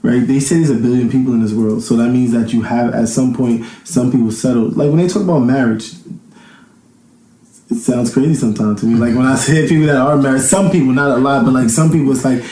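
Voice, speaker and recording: A man in his thirties talks casually as a guest in an interview. His voice is dark and mellow, and he rambles as he thinks out loud. The room around him is echoey. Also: medium pitch, fast talker, a little hiss or hum. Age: 30s. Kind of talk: casual chat.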